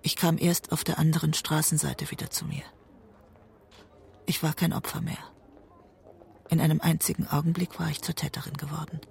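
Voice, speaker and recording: An actress playing a haunted woman looking back on her marriage, husky and breathy, in grave, restrained audio drama narration. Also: sits low, little pitch movement, normal speed, pauses often, darkish tone. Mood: haunted, tense. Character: haunted woman writer recalling a destructive marriage. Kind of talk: literary first-person audio drama narration.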